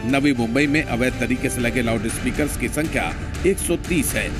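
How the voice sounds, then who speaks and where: A man reading a scripted news narration as a voice-over. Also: mid-range voice. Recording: music under the voice. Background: music.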